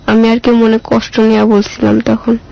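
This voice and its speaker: A woman's voice: on the high side, completely flat delivery, moderate pace, vocal fry, dry recording, muffled recording. A girl in her mid-teens with a colloquial Bangladeshi accent, telling her own story simply in an interview with short, plain answers.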